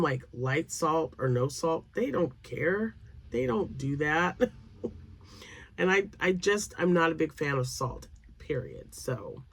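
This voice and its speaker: A woman in her later years, a crochet vlogger chatting casually to camera, a little nasal and froggy from sinus drainage, rambling with fillers. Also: sits low. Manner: chatty and rambling, many fillers, laughs often.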